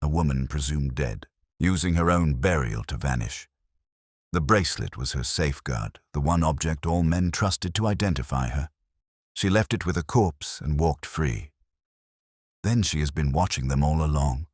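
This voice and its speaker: A man voicing a calm, cerebral Victorian detective with measured, formal speech and quiet authority in an audiobook story. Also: extremely low pitch, breathy edge, no room sound, clean studio sound, full character voice. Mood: coolly deductive.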